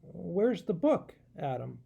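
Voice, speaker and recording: A wise and kindly storybook king, read by a man. His voice is mellow and slightly husky, and he gives guidance in a measured, instructive manner. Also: sits high, very animated, heavily acted. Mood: concerned.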